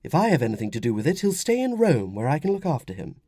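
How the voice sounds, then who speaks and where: A man voicing a young Roman mother in an audiobook, firm, fretful and gently insistent. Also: fairly high pitch, big pitch swings, moderate pace, no echo, clean studio sound, voice pushed up. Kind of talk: audiobook character dialogue.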